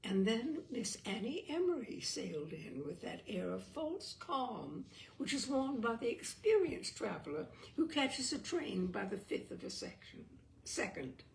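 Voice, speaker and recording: A woman reading a literary short story aloud as an expressive, theatrical storyteller. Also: a little high, measured pace, gravelly, few pauses, slight background noise. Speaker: storyteller, audiobook reader.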